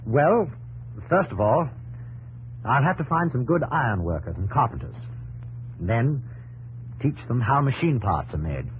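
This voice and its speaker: An actor playing a confident but guarded, homesick young English engineer with polite, clipped answers in a 1950s radio drama. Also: male voice, mid-range voice, sing-song, a little slow, pauses often, breathy edge, heavily acted, low-quality recording. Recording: 1950s radio, faint noise and hum.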